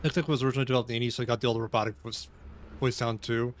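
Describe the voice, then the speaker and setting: A man streaming a game, thinking aloud and chatting with viewers in a loose, unhurried way. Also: medium pitch, steady tone, faint music behind. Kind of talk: casual live-stream gaming commentary.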